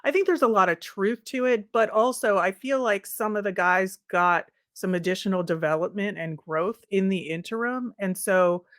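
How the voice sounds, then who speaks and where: A woman co-hosting a sports podcast, chatting casually through recaps in a measured, conversational way. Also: mid-range voice, no room sound, studio quality. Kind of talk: casual hockey recap chat.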